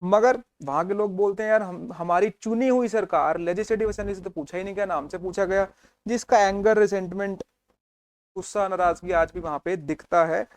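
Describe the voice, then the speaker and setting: Male teacher with a high voice, dry close recording, chatty storytelling lecture style. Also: a little fast, no echo. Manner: storytelling, mixes Hindi and English, checks in with students. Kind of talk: exam revision lecture.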